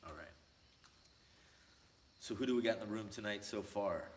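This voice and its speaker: Deep-voiced man hosting a livestream. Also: normal speed, reverberant, slight background noise. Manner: loose, joking, greets chat viewers by name. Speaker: livestream host. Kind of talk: casual livestream chat. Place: indoor room, laptop mic. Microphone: laptop mic, no headphones.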